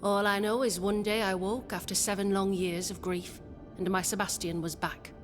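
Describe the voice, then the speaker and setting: A woman acts a devoted, proud leader of settlers in a game cutscene. Her medium-pitched voice is formal and solemn. It rings in long reverb. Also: steady tone, normal speed, faint music behind, natural voice. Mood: devout, resolute. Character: proud, devoted wife leading embattled settlers. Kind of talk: video game cutscene acting.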